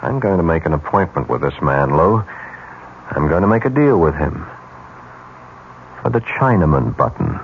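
An actor playing a scheming, smooth-talking, self-assured advertising executive in a 1970s radio drama. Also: male voice, rumbling low, very animated, normal speed, pauses often, exaggerated voice. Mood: businesslike, scheming. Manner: slick, self-assured, persuasive. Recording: muffled 1970s radio broadcast, faint hiss.

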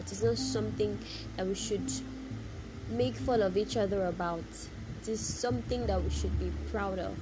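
A woman's voice: fairly high pitch, low-quality recording. A woman from Nigeria with a Nigerian accent gives a casual, opinionated monologue, speaking emphatically with repeated phrases and rhetorical questions. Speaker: vlogger giving personal opinion.

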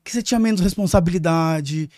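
A Brazilian man in his thirties hosts a podcast at a quick clip, joking and teasing his guests. His voice sits a bit high for a man. The sound is dry and close, with no room echo. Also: a little mellow. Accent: Brazilian Portuguese. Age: 30s. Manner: playful, teasing, quick-talking. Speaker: podcast host. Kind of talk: casual chat.

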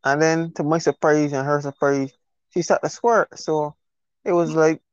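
A man's voice: on the high side, steady tone, normal speed, smooth, no echo. Laid-back Barbadian man, dull dry line. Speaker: guest. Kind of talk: casual podcast chat.

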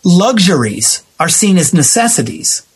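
Animated, high-pitched American man with husky voice. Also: a little slow. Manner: emphatic, acting out imagined quotes. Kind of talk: opinionated conversation about society.

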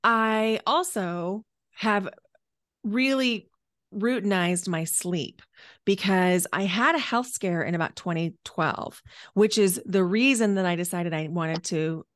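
A woman's voice: medium pitch, slow, a bit bright, no room sound, clean studio sound. A 49-year-old woman speaking as a podcast guest, telling her own story conversationally and stretching key words for emphasis. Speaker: podcast guest, energy healer and coach.

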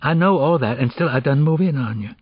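A man's voice: mid-range voice, lively intonation, brisk, slightly rough, no room sound, muffled recording, pitched above the performer's own voice. A man voicing an evasive, lovestruck teenage girl in an audiobook, slangy, reluctant and defensive as she confesses. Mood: guilty, pleading.

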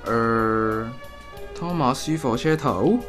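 A man's voice: medium pitch, slow pace, music in the background, lightly acted. A man with a Hong Kong accent playing a teasing older brother in a comedic radio drama, talking with mock seriousness.

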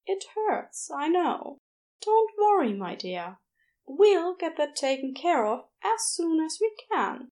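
A woman voicing a kindly, chatty male nurse in an audiobook, with a soothing, fussy and gently joking manner. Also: extremely high pitch, big pitch swings, slow pace, voice pushed up, heavily acted. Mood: reassuring. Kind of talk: expressive audiobook character voice.

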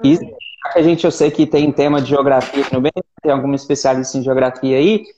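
A man's voice: mid-range voice, fairly even intonation, fairly quick, crowd noise. Hesitant Brazilian man, dull sound. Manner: hesitant, repeats words often. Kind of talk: casual panel chat.

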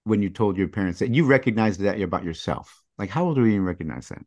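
A man hosting a podcast interview, talking casually in halting, restarting phrases and admiring his guest. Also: lowish voice, lively intonation, a little mellow, dry recording. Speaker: podcast host.

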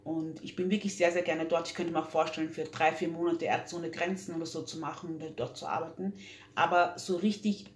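A woman's voice: sits low, fairly even intonation, a little fast. A young woman, talking earnestly as an interview guest, with many fillers and restarts. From Austria. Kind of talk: casual interview talk. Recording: echoey room, faint hum.

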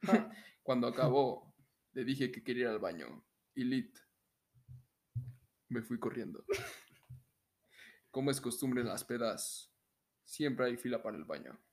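A man's voice: mid-range voice, lively intonation, measured pace, long pauses, darkish tone. A young Mexican man with a mid-range voice co-hosts a podcast in a casual, comedic way. He is jokey, and laughter breaks into his talk easily. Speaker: podcast co-host. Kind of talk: casual comedic chat and story reading.

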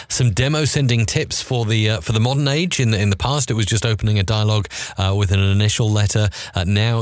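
A man's voice: on the low side, dry recording, clean studio sound. A middle-aged man interviewing conversationally as a podcast host, affirming his guest and leading carefully into questions.

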